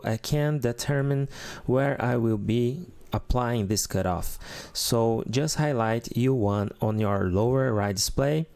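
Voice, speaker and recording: A man whose first language is Portuguese, hosting a keyboard tutorial and calmly explaining settings step by step. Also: mid-range voice, vocal fry. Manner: calm step-by-step explaining with fillers. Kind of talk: instructional walkthrough.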